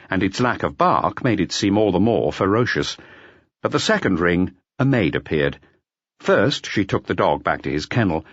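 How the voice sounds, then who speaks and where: A male narrator reads literary fiction in a low voice that moves expressively. The sound is close and very dry, slightly dull at the top. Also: a bit bright, dry recording. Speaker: audiobook narrator. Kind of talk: scripted literary narration of a detective novel.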